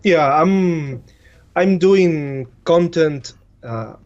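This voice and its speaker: Argentine guy, spanish is his first language, slow careful english, kinda muffled audio. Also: on the high side, soft background music. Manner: hesitant, repeats words while searching in English. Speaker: guest content creator. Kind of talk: casual chat giving game advice.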